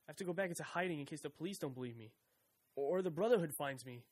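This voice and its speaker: Guy acting jittery young on the run, talks real fast, defiant but scared, radio play. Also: fairly high pitch, expressive, a little mellow, no echo. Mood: frightened. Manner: nervous, stammering, defensive. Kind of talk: audio drama acting.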